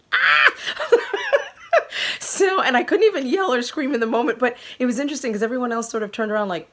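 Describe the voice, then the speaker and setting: A woman hosting her podcast, telling a story to viewers in a gushing, excitable way, her voice a little croaky from a cold. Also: on the high side, normal speed, long pauses. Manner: gushing and excitable, laughing often. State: recovering from a sore throat. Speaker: podcast host.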